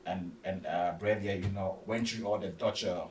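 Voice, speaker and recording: A man in an unscripted sports discussion, speaking haltingly and often stammering and repeating words as he goes. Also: low-pitched, fairly even intonation, faint music behind. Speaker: football discussion panellist. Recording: roomy echo, distant mic, faint noise.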